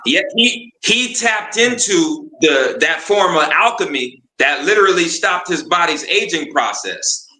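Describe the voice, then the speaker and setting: A man's voice: on the high side, expressive, a little slow, hardly any pauses, sharp tone. Stammering man in an echoey room. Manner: hesitant, stammering starts then earnest explaining. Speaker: guest.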